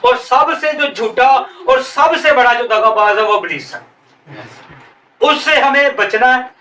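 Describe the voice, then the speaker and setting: High-voiced pastor preaching with Pakistani Urdu accent. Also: male voice, expressive, pushed and bright. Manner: preaching, drawn-out emphatic phrases. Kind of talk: sermon. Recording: echoey room, voice from some distance.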